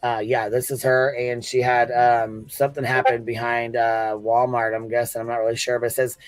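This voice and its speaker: Flat-toned American man, casual and sweary. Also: mid-range voice, normal speed, dry recording, soft background music, rough recording. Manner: informal, sweary, slangy. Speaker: livestream host and commentator. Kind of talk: casual livestream commentary, recounting a story.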